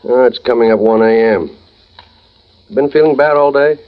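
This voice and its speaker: An actor playing a concerned, easygoing prison officer in a vintage radio drama, casual and solicitous. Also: male voice, mid-range voice, moderate pace, close to an everyday voice. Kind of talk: 1940s-50s radio drama acting. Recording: muffled old radio recording.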